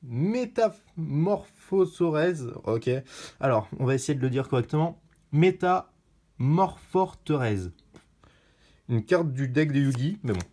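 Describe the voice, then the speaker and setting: A man giving casual commentary while opening card packs, chatty and bursting into excited shouts at rare pulls. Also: a little high, slow.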